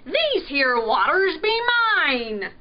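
A woman's voice: high voice, very animated, takes it slowly, highs cut off, heavily acted. A woman voicing a blustering pirate fish in a children's storybook, growling and bellowing salty pirate talk. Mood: growling, defiant.

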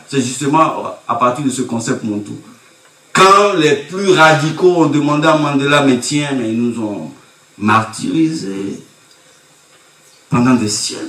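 A man's voice: on the high side, a bit bright. Middle-aged guy giving a conference talk, hammers his points with repeats, roomy echo, heard from across the room. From Côte d'Ivoire. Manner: emphatic, rhetorical, repeats key phrases. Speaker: university lecturer. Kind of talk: academic conference lecture. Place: conference room with projector screen. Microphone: table mic on stand, recorded by far camera. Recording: echoey room from far off.

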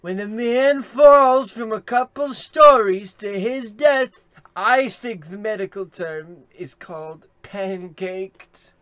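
An actor playing a deadpan police officer in a parody of old radio drama. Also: male voice, high voice, slow, dry recording.

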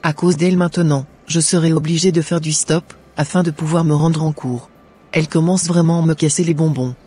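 A woman's voice: low voice, fairly even intonation, brisk, mellow, breathy edge, soft background music. A woman voicing a resentful, ungrateful young student daughter in a cartoon, complaining with scorn.

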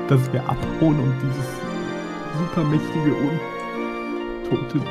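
Male game streamer over loud music. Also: mid-range voice, fairly even intonation, slow. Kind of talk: casual live playthrough chat.

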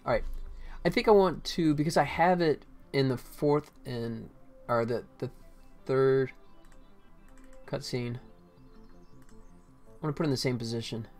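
A man's voice: medium pitch, a little slow, pauses often, a little mellow, faint music behind. Rambling middle-aged man, casual livestreamer. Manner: rambling, self-deprecating, jokey. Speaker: indie game developer livestreamer. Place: indoor room at a computer. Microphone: desktop microphone.

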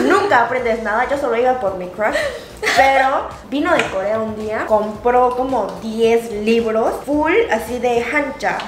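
A woman's voice: fairly high pitch, measured pace, echoey room, a little hiss or hum. A young woman hosting a casual chat, asking questions and laughing a lot. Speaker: host. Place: indoor studio set at a table.

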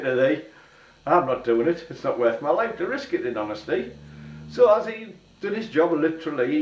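Older man, hoarse from a cold, animated sing-song rhyming delivery; distant home-video sound. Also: medium pitch, reverberant, highs cut off. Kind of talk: rhyming spoken-word storytelling to camera. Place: small living room, seated on a sofa. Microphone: camera at a distance.

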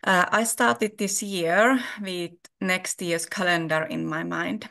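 A woman with a Finnish accent reads her prepared words aloud at a very slow, careful pace. Her voice is bright, clear and fairly low. She speaks over a webcam on a video call. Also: fairly even intonation. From Finland. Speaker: exhibiting photographer. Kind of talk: reading a prepared text aloud.

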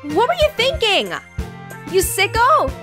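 Visual novel tsundere schoolgirl, voiced by a woman: very high, indignant, flustered, big swings. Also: very animated, unhurried, music in the background, full character voice. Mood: outraged, flustered. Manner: shrill, indignant. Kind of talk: visual novel character voicing.

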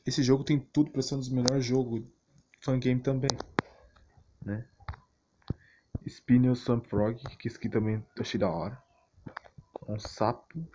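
A man's voice: mid-range voice, steady tone, measured pace, pauses often. A man with a Brazilian accent giving casual, off-the-cuff gaming commentary in a slangy, rambling, repetitive way. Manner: slangy, rambling, repeats himself. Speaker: gaming YouTuber. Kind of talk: casual off-the-cuff commentary on fan game images and videos. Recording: muffled, noisy phone recording with clicks.

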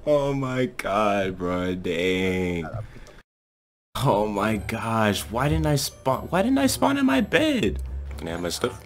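A young man bantering casually with friends during gameplay, excitable, slangy and quick to swear. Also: lowish voice. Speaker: gaming video creator.

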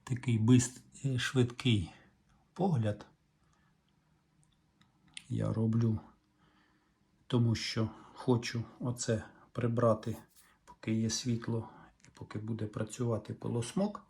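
A middle-aged man with a Ukrainian accent talks through a repair at his workbench. His voice is mellow and dark, and he speaks calmly, thinking aloud with small hesitations. The sound comes from the camera's own microphone. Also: mid-range voice, slow pace, vocal fry, pauses often. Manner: calm, explanatory, musing aloud with hesitations. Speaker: repair vlogger. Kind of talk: hands-on repair walkthrough.

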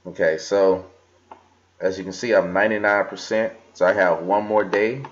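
A man's voice: sits low, fairly even intonation, moderate pace. A young man casually talking through a screen recording, with many fillers and restarts as he scrolls and reads aloud. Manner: casual, filler-heavy, talks while scrolling and reading aloud. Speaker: crypto vlogger. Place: indoors at a desk. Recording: slightly dull lo-fi computer audio.

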